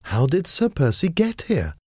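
A man's voice: a little high, wildly swooping pitch, unhurried, darkish tone, breathy edge, no echo, studio quality, pitched above the performer's own voice, exaggerated voice. A man voicing an anxious, emotional noblewoman in an audiobook, earnest in the way the words are delivered. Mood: perplexed. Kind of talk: acted audiobook dialogue.

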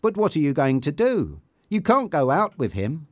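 A man voicing an excitable, insecure young woman who sings in a band, in an eager and emotional way. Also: on the high side, normal speed, no room sound, studio quality, pitched above the performer's own voice. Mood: triumphant.

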